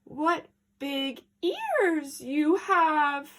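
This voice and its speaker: A woman's voice: high voice, big pitch swings, very slow, a bit bright, pitched above the performer's own voice, exaggerated voice. A woman voicing a sweet, trusting little girl from a storybook, speaking in careful, exclaiming phrases. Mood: wary surprise.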